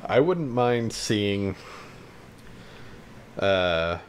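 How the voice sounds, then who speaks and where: A man's voice: fairly low pitch, lively intonation, drawn-out words, slight background noise. A man co-hosting a casual podcast about games and films, with a blunt, informal way of talking. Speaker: podcast co-host.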